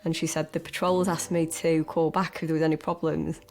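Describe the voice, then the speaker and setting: A woman's voice: low-pitched, little pitch movement, a little fast, darkish tone. A woman who works as a police emergency call handler, talking plainly.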